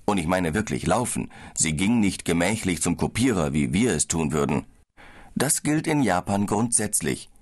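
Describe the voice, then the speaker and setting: A man in a scripted audiobook dialogue, calmly explaining business manners abroad. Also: deep voice, moderate pace. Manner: calm, explaining, slightly tired. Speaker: business traveller in a language-course dialogue.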